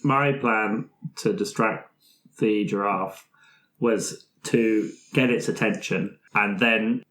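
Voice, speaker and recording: A man's voice: fairly low pitch, takes it slowly, a bit bright, roomy sound, low-quality recording. A man playing a tabletop game, casually describing his improvised plan.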